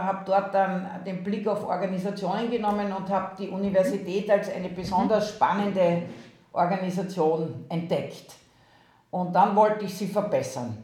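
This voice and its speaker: A woman's voice: mid-range voice, mellow, reverberant. A woman from Austria with an Austrian accent, a university president talking in an interview, thoughtful and hesitant, with frequent fillers. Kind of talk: interview over video call. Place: remote video call.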